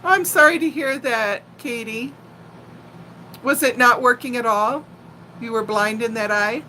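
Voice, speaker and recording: A woman in her sixties chatting casually as a live stream host, telling personal stories in a playful, animated way. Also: fairly high pitch, a little slow, slight background noise, rough recording. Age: 60s. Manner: animated, playful storyteller who laughs easily and often. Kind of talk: casual chat and personal storytelling.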